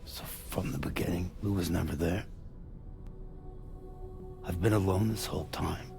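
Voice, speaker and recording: A man's voice: low voice, fairly even intonation, measured pace, vocal fry, music in the background. An actor playing a weary grieving father in denial, terse and emotionally raw, in a video game cutscene. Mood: bitter, resigned.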